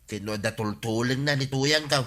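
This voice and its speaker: A man's voice: mid-range voice, normal speed, a bit bright, natural voice. A man playing an exasperated, scolding village husband in a comedy radio drama, nagging with an Ilocano accent.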